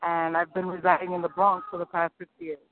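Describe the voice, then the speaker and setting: A woman's voice: on the low side, completely flat delivery, fairly quick. A woman from New City, answering interview questions in an upbeat, eager way and laughing easily. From New York City. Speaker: singer and rapper guest. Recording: dry, tinny phone-like sound.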